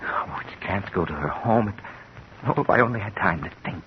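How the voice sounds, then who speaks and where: An American actor playing a guilty, nervous murderous son-in-law, halting and melodramatic, in a 1950s radio thriller. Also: male voice, on the low side, lively intonation, close to an everyday voice. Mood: nervous, evasive. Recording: muffled, hissy vintage radio recording.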